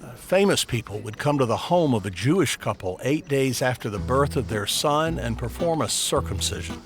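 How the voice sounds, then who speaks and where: A man playing a dry, deadpan Hollywood historian commenting in a documentary interview. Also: mid-range voice, normal speed, music in the background, lightly acted. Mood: dry recollection.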